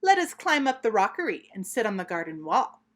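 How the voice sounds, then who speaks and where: A woman's voice: medium pitch, very animated, fairly quick, fairly bright tone. A woman voicing an eager little girl kitten in a storybook, pleading and urging in a singsong way.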